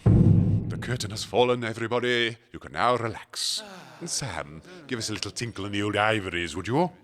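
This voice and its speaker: A man's voice: lowish voice, moderate pace, lightly acted. A man playing a genteel, theatrical cat-man with pompous, flowery speech in a comedy audio drama. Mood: soothing, theatrical. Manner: pompous, flowery, old-fashioned phrasing. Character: genteel, theatrical male cat-man mutant, grandiose and reassuring.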